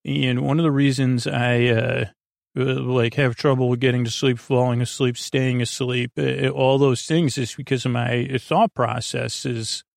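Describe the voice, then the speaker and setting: A man hosting a bedtime podcast in a casual, rambling monologue, with a gravelly voice and a halting, meandering way of talking that circles back and drifts into tangents. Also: medium pitch, steady tone, dry recording, studio quality. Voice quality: gravelly, creaky. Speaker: podcast host.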